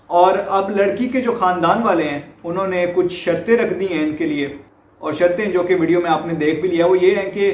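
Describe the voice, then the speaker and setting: A high-pitched male voice with a Pakistani accent, recorded in an echoey room. He presents news commentary in an explanatory way, restating key points for emphasis. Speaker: host presenting a news report.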